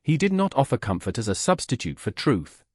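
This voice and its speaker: Guy narrating an audiobook, measured and literary, slightly husky, very dry recording. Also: medium pitch, big pitch swings, a little mellow, no room sound.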